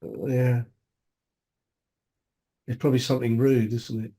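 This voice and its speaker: A man's voice: mid-range voice, little pitch movement, measured pace, mellow. A man on a video call who mutters and thinks aloud as he hunts through his screen. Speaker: meetup attendee. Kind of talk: casual video call chat.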